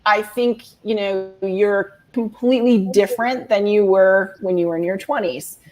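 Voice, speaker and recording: A woman's voice: medium pitch, moderate pace, darkish tone, rough recording. Rambling woman in her forties. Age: 40s. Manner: rambling, many restarts and fillers. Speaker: guest author. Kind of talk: casual video-call chat.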